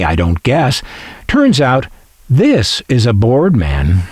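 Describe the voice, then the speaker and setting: Male narrator, slow-paced and expressive, wry and folksy, close dry sound. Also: on the low side, no room sound. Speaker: collector and narrator. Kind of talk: scripted narration.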